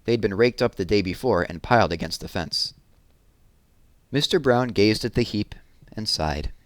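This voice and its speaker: A man reading a children's picture book aloud in a gentle, expressive storytelling manner. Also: on the low side, normal speed, no echo.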